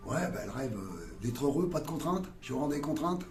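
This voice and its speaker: A middle-aged man rambles in a loose, slangy way, full of hesitations and musings. His voice sits a little high for a man. The sound is roomy, with clear music playing behind him. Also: very animated, moderate pace, darkish tone. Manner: rambling, slangy, philosophizing with many fillers. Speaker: street interviewee. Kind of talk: casual street interview. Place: outdoors on a town street.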